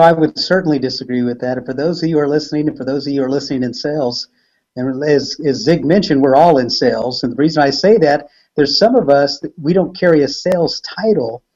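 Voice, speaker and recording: Male guest sales trainer, earnest, mellow tone, slightly dull remote audio. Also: medium pitch. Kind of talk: interview answer.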